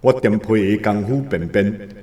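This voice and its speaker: A man's voice: on the low side, moderate pace, warm tone, lightly acted. An actor with a Taiwanese accent playing a lonely working-class man who mutters to himself in a radio drama. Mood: muttering, scheming. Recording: long reverb, echoing studio effect.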